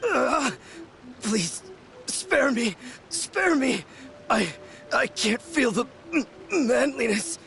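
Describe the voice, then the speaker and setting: A man's voice: very high voice, animated, drawn-out words, long pauses, a bit bright, exaggerated voice. An actor playing a vain young man meditating in a waterfall in a game, boasting about manliness. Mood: panicked. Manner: boastful, then frantic pleading. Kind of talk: game voice acting.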